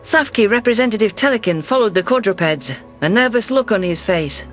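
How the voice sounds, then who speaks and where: A man's voice: sits high, animated, a little fast, a bit bright, faint music behind. A man narrating a science fiction story in the first person, reading a scripted text.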